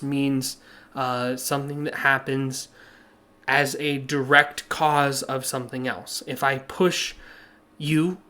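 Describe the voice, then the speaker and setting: A man teaching a recorded class lesson over slides, with a slightly hoarse voice and a plain, patient way of explaining that uses many "uh" fillers. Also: medium pitch, bright, forceful tone.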